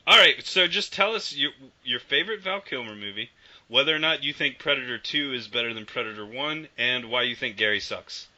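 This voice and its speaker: Podcast co-host, man, sharp bright voice, teasing and swearing, lo-fi audio. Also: mid-range voice, expressive, a little fast. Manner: profane, teasing banter. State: a little under the weather. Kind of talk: casual podcast chat.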